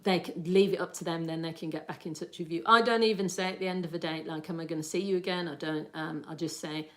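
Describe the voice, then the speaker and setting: Chatty woman, slightly low voice. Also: steady tone, fast, a bit bright. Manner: chatty and rambling, laughs often. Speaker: livestream host. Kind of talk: casual livestream chat, answering viewer comments.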